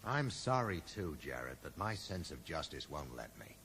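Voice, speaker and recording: An actor playing a dry, sarcastic amateur sleuth who probes and accuses in a 1980s radio drama. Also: male voice, extremely low pitch, sing-song, normal speed, fairly bright tone, full character voice. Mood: accusing, resolute. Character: sardonic, probing male amateur sleuth confronting an old friend. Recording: echoey, noisy old radio broadcast recording.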